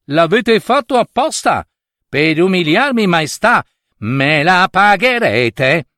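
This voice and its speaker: A man's voice: high-pitched, big pitch swings, slow, bright, forceful tone, dry recording, clean studio sound, pitched above the performer's own voice, heavily acted. A man voicing a haughty, offended young prince in a fairy tale, pompous and indignant. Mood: offended, threatening.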